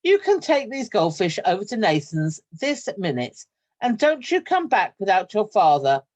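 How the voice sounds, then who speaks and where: A teacher doing a brisk, firm mother. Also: mid-range voice, very animated, natural voice. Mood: stern. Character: mother, polite and then stern.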